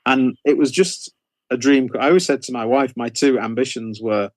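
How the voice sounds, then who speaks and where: A British man in middle age chats as an interview guest, with a mid-pitched voice and a very dry recording. He tells anecdotes in a meandering way, often breaking off and restarting his sentences. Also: moderate pace, no echo. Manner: rambling, self-interrupting storytelling.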